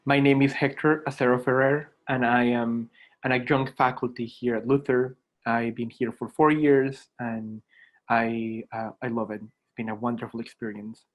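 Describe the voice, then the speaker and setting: Colombian guy, native spanish speaker, mid pitch, talking about himself to students, reflective and a bit halting. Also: level tone. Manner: reflective, halting, with repeated words. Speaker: adjunct philosophy lecturer. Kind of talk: informal self-introduction to students.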